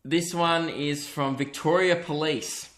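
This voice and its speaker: High voiced guy hosting a podcast, jokey and sweary, roomy echo. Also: fairly even intonation, slow, a bit bright. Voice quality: high-pitched voice. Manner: joking, sweary, reads out listener reviews. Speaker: podcast host. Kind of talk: casual chat.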